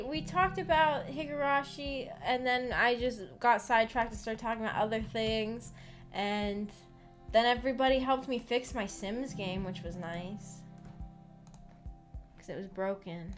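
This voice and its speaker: A woman's voice: on the high side, measured pace. Woman streamer chatting over background music. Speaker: streamer hosting a visual novel book club. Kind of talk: casual livestream chat, reading out and answering viewer comments.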